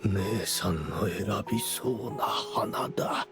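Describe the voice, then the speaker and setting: Deep, grave, proud mentor. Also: male voice, vocal fry, over loud music. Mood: wistful. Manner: solemn, measured, formal. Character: grave, unrepentant older male mentor, resigned and proud. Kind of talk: dramatic anime game voice acting.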